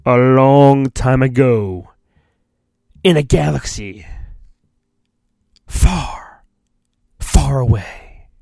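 A man's voice: mid-range voice, drawn-out words, creaky, pauses often, dark tone, dry recording, studio quality. A man hosting a podcast, giving a mock-dramatic storybook reading with theatrical, self-mocking asides. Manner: theatrical, self-mocking, often breaks off to mutter. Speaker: podcast host and reader.

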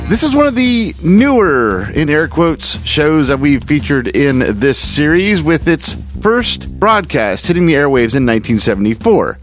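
A man's voice: fairly high pitch, no room sound. A man hosting a podcast, introducing an old radio episode in a friendly, explanatory way. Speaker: podcast host.

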